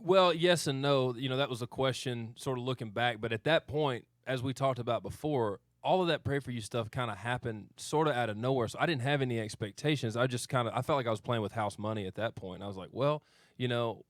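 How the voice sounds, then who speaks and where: A man's voice: mid-range voice, brisk, no echo, studio quality. A man in his thirties from Arkansas, a country singer answering interview questions in a modest, thoughtful way. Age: 30s. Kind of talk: casual studio interview. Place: radio studio. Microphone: studio mic.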